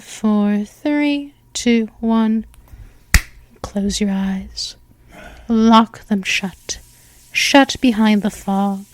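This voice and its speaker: A woman playing a seductive vampire mistress in a hypnosis roleplay, speaking softly and slipping into whispers. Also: fairly high pitch, no echo. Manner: slow, soft, hypnotic, with whispered stretches.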